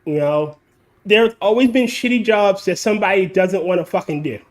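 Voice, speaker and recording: A man's voice: high-pitched, moderate pace. A man hosting a casual podcast chat, blunt and swearing freely as he explains his point at length. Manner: blunt, swears freely, lectures in long runs. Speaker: podcast host.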